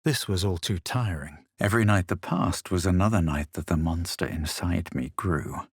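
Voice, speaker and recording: Husky, low, haughty fantasy adventurer. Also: male voice, normal speed, no room sound, studio quality. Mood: brooding, grave. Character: proud, self-assured male fantasy adventurer, grave and superior. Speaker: player character voice actor. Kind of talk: dramatic scripted fantasy game lines.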